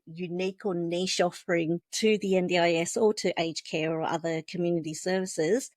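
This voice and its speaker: A woman's voice: lowish voice, no room sound. A woman whose was Vietnamese, explaining things step by step in a casual interview and laughing often. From Australia. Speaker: podcast guest, business founder.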